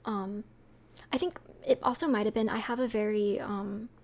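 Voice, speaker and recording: A woman's voice: mid-range voice, steady tone, normal speed, darkish tone. A young woman with a sweet voice, chatting casually with her stream viewers, rambling with lots of fillers and light laughs.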